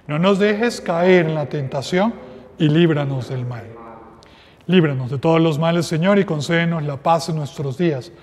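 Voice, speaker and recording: A middle-aged man, a priest, reciting the prayers of Mass in a slow, solemn way. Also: fairly high pitch, darkish tone. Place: small chapel with altar. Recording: long reverb of a chapel.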